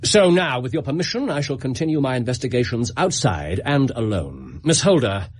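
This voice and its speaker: An English actor playing a shrewd, commanding Victorian detective in a radio drama, with formal, precise, probing questions. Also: male voice, mid-range voice, sing-song, normal speed. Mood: brisk, investigative. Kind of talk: British radio drama acting.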